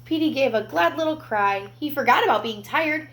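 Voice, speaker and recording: A woman reading a picture book aloud to young children, expressive, with gentle explanatory asides. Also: high-pitched, normal speed.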